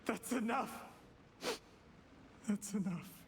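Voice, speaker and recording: An actor playing a scheming, ambitious usurper in a video game, courteous and formal on the surface, calculating and coldly ruthless underneath. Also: male voice, sits high, slow, gravelly, long reverb tail, exaggerated voice. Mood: anguished.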